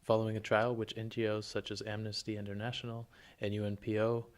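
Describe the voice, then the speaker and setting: A man hosting a podcast, reading scripted background facts in a measured way. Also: lowish voice, completely flat delivery. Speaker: podcast host. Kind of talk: scripted narration.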